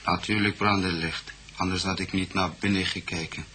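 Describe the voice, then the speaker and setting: An actor playing a simple, wary foreign man in a radio drama, answering in halting, broken phrases. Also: deep voice, level tone, pushed and bright. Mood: recounting.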